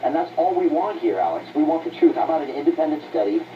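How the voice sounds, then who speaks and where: A man's voice: a little high, very animated, fast, a loud crowd. A man talking as an interview guest, earnest and emphatic, repeating phrases for weight, heard on muffled playback. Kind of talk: impassioned interview talk. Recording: distant, muffled, noisy playback through a speaker.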